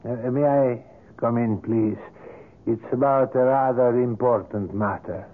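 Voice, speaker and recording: A man acts out a sly, mysterious male artist in an old radio play, with a medium-pitched voice. He is courteous and precise, choosing formal words with small polite hesitations. The sound is a band-limited vintage broadcast. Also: unhurried, phone-quality sound, close to an everyday voice. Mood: smooth, insistent. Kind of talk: 1970s radio drama acting.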